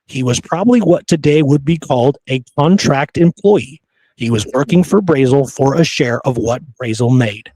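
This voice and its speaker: A man's voice: medium pitch, normal speed, mellow, husky, dry recording, low-quality recording. A man hosting a history podcast, talking casually and emphatically and often checking for agreement. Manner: informal, emphatic, seeks agreement with "right?".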